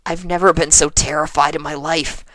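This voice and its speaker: A performer voicing a brash but flustered young female pegasus pony from a cartoon fan story, stammering and earnest, with a voice that cracks and turns breathy. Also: mid-range voice, level tone, moderate pace, bright, forceful tone, dry recording, voice pushed up, close to an everyday voice. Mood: overwhelmed.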